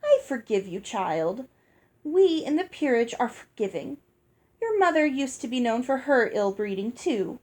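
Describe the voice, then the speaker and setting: Lady reading a storybook as a snooty prim girl, kinda high voice, fake dainty, talks down to everyone. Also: sing-song, measured pace, a little mellow. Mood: haughty, condescending. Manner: prim, condescending, firm. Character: snooty, greedy girl, falsely dainty and complacent.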